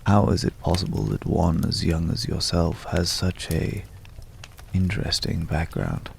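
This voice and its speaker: Casting: man to play courteous, enigmatic male wanderer for ASMR fantasy roleplay. Very slow, hushed and measured, thick vocal fry, ominous undertone. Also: fairly even intonation, slight background noise, heavily acted. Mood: coy, courteous. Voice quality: deep, gravelly. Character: mysterious, courteous male stranger visiting a hunter's night camp.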